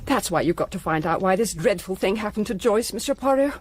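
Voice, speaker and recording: An actress playing a worried, gracious English mother in a radio play, with a husky, breathy voice and an English accent. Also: medium pitch, lively intonation, a little fast, lightly acted. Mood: anxious.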